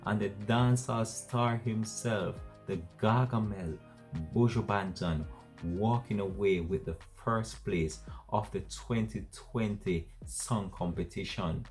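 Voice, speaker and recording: A man hosting an entertainment news show, wrapping up the story in a steady, repetitive presenter delivery. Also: on the low side, takes it slowly, faint music behind. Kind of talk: scripted news wrap-up.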